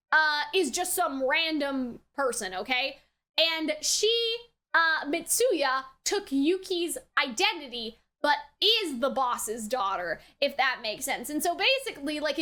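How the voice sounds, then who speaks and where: A woman's voice: high voice, sharp tone. A woman hosting a reaction video, thinking through her theories aloud in a casual, rambling way and often checking that the listener follows. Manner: rambling, theorising aloud, frequently checks with "right?" and "you know". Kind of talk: casual unscripted anime review commentary.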